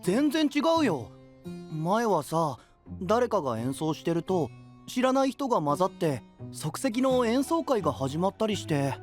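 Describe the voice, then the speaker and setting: Upbeat young anime knight, casual and friendly, very animated delivery. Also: male voice, high voice, a little slow, soft background music. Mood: puzzled, animated. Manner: breezy, casual, friendly slangy talk. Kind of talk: anime-style audio drama acting.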